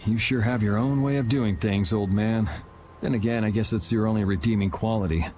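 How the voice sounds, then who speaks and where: A man's voice: lowish voice, a little fast, a little mellow, slight background noise, close to an everyday voice. A man playing a cool, sardonic young swordsman in a video game, with a dry, laid-back way of talking. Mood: dry, questioning. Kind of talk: video game dub acting. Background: background music.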